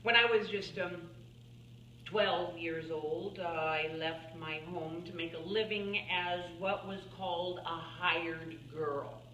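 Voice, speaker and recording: A woman playing an elderly, folksy farm-woman painter in a theatrical monologue, halting and musing, plainspoken and wry as she reminisces. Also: fairly low pitch, sharp tone, highs cut off. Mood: fond reminiscing. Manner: halting, musing, with small chuckles and pauses. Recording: roomy, distant mic, faint background noise.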